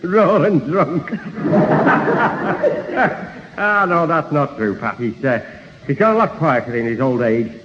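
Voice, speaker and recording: A daft, jovial neighbour, fumbles his words in a highish, very animated voice. It is radio comedy on a muffled old recording. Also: takes it slowly, long reverb tail, noisy background. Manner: chuckling, hesitant, malapropism-prone. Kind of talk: radio sitcom acting.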